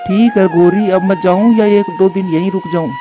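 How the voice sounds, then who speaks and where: A henpecked crow husband played by a man grumbles in a high-pitched male voice. The cartoon sound is dull, with music clearly behind it. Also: steady tone, brisk, natural voice. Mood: compliant, plotting. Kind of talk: Hindi cartoon dialogue.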